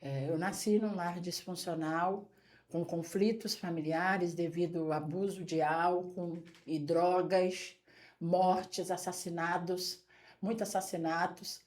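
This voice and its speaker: A woman's voice: on the low side, fairly even intonation, slow pace, darkish tone. Earnest Brazilian woman in her forties. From São Paulo state, Brazil. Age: 40s. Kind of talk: talk show conversation and testimony.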